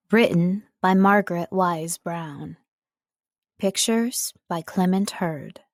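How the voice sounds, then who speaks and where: A woman narrating audiobooks from a script in an expressive, measured storytelling way, close to the microphone. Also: medium pitch, dry recording. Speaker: audiobook narrator, voice-over artist.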